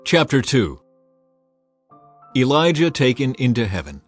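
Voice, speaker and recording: A man's voice: mid-range voice, slightly rough. Solemn male scripture narrator. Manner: measured, solemn, even reading. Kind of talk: dramatized scripture reading. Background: faint music.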